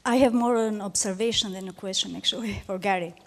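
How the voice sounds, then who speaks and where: A woman's voice: mid-range voice, quick pace, fairly bright tone. A woman from Albania with an Albanian accent, speaking from the audience at a public debate and giving an earnest, emphatic testimony. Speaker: audience member.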